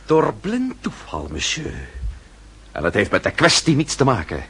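An actor playing a proud, sardonic French marquis with a formal, mocking delivery in a period radio drama. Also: male voice, medium pitch, huge pitch swings, measured pace, a bit bright, breathy edge, full character voice. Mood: cool, triumphant. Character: proud French marquis, sardonic, coolly self-possessed nobleman. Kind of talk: radio drama acting in period costume piece.

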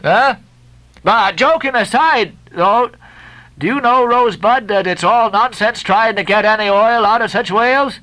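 A man voicing a brash, jolly sailor who bawls and teases loudly. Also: high voice, unhurried, pitched above the performer's own voice, poor audio. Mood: bawling mockery. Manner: loud bawling, teasing.

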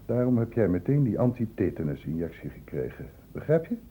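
An actor playing a patient, probing public-health doctor in a radio drama, explaining and questioning insistently. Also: male voice, fairly low pitch, big pitch swings, brisk, dark tone, husky. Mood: insistent, lecturing. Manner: insistent, explanatory questioning with short pointed prompts. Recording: dull lo-fi old radio play recording.

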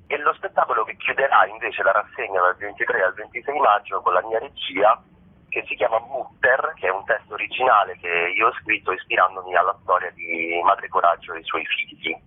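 A man's voice: low-pitched, slightly rough. A man being interviewed by phone, explaining things spontaneously and hesitantly, often searching for his words. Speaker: theatre director, interview guest. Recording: narrow, muffled phone line.

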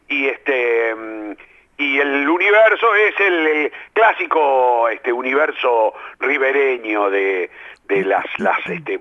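An older man with a Rioplatense accent, a playwright and theatre director answering radio interview questions in a reflective way, with many fillers. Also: mid-range voice. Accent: Rioplatense Spanish. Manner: reflective, lively, filler-heavy. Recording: lo-fi radio broadcast.

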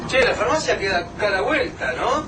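A man's voice: high voice, very animated, fairly quick. A man playing an edgy Rioplatense survivor. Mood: urgent. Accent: Rioplatense Spanish. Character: tense male survivor giving directions. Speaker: Lucas, a survivor. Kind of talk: amateur radio drama acting. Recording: distant mic with background noise.

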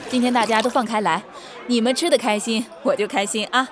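A woman's voice: a little high, fairly even intonation, brisk, a crowd in the background, natural voice. A woman playing a bubbly, boastful older mother in a drama, chatty, playful and nosy. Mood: boisterous, playful. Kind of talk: naturalistic drama dialogue. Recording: music behind the voice.

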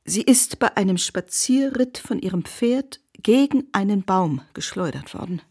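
An actress in a radio drama playing a grieving woman who breaks terrible news in a hushed, halting, breathy way. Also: mid-range voice, lively intonation. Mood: grave, sorrowful. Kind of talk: radio drama reading of a telegram.

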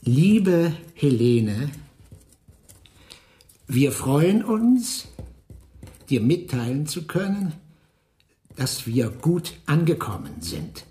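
A domineering forger who rules over fellow prisoners in a radio drama. He lectures at a crawl, stretching and savouring his words. His pitch rises and falls widely as he instructs. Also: mellow. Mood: slow dictation. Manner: drawn-out, lecturing, savouring each word. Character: domineering male prisoner boss, master forger coaching handwriting. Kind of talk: radio play acting.

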